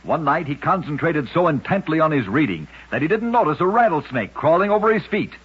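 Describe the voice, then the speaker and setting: A man's voice: a little high, very animated, brisk, slightly rough. A man reading scripted short stories for a radio show with an emphatic, dramatic storytelling delivery. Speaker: radio narrator of oddity stories. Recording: dull lo-fi old radio recording.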